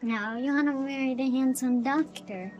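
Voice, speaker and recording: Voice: high-pitched, moderate pace, a little mellow, highs cut off, soft background music, close to an everyday voice. An actor voicing a playful, cheeky little boy in an audio drama. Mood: cheeky, sweet.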